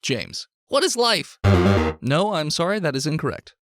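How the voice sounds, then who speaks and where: Man playing animated TV quiz show host. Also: a little high, moderate pace, dry recording, clean studio sound, faint music behind. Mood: showy, brisk. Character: male TV quiz show host, brisk and showy. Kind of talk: game show hosting parody.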